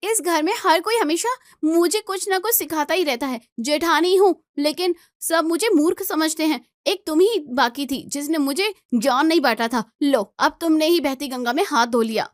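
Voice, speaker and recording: Entitled young daughter-in-law, played by a woman narrator, high-pitched, whiny and indignant, for a storybook family drama. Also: moderate pace, few pauses, dry recording, studio quality, natural voice. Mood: aggrieved, angry. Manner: indignant, demanding. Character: whiny, entitled young elder sister-in-law, quarrelsome and jealous.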